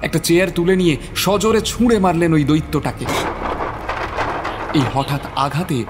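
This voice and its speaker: A man's voice: a little high, lively intonation, moderate pace, slight background noise. A man narrating a scripted horror story in a suspenseful, theatrical manner. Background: prominent dramatic music.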